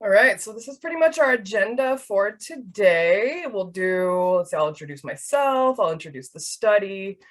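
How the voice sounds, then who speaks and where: A woman's voice: mid-range voice, animated, normal speed, hardly any pauses. Chatty Hawaiian-born woman presenting. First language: Hawaiian Pidgin. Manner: casual, chatty, many fillers. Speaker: research project manager. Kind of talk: webinar presentation.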